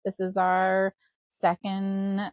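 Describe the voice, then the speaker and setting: An upbeat woman co-hosts a casual podcast, working through her thoughts with plenty of fillers and frequent laughs. Her voice is mid-pitched and recorded close and dry. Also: monotone, slow pace, smooth, dry recording. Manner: thinks aloud with fillers, laughs often. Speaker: podcast co-host.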